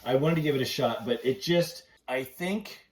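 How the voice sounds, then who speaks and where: In a roomy, echoing space, a middle-aged man hosts a casual podcast. He talks with an excitable fan's enthusiasm, in a mid-pitched voice. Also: moderate pace. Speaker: podcast host. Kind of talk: casual chat. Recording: roomy, echoey sound.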